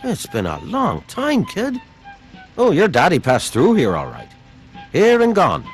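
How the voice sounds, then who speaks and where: A man's voice: high voice, huge pitch swings, measured pace, faint music behind, exaggerated voice. A man voicing a sly, patronizing middle-aged saloon owner with a theatrical manner in a video game. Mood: sly, condescending.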